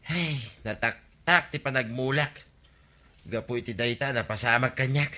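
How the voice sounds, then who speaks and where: A man's voice: mid-range voice, moderate pace, bright, forceful tone, natural voice. A man playing an aggrieved village farmer in a Filipino radio comedy drama, exclamatory and complaining, with an Ilocano accent.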